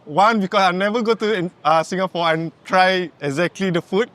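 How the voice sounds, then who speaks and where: High, clear-voiced man. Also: a bit bright. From Malaysia. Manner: hesitant, halting answers. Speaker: interviewee.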